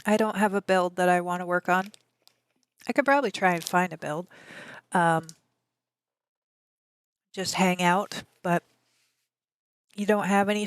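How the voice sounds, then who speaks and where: A woman streamer with a slightly raspy voice, chatting casually and answering her viewers. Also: medium pitch, no echo. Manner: rambling, chatty, answers chat messages aloud. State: allergies. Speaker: game streamer.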